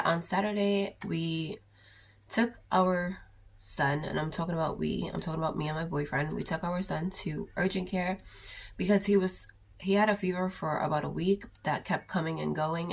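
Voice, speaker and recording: Raspy-voiced sick young woman vlogging. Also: low voice, steady tone, moderate pace. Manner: chatty, rambling, with many ums and likes. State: sick with a sore throat and cough. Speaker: beauty vlogger. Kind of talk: casual haul vlog.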